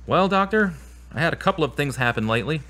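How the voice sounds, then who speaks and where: A man's voice: on the high side, animated, fast talker, bright, forceful tone. A man acting as a troubled, self-doubting therapy patient in a scripted audio drama, speaking in an earnest, confessional way. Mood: frustrated, uneasy. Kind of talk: scripted audio drama dialogue and spoken prayer.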